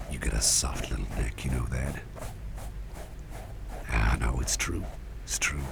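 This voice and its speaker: Very deep, gravelly, gentle ASMR boss played by a man. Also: full character voice. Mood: soothing, tender. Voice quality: soft, breathy half-whisper with gravelly fry. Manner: tender, reassuring, unhurried, hesitant murmuring. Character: gentle, doting businessman boss caring for his employee. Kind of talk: ASMR roleplay, personal attention. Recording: clear background noise with ambience.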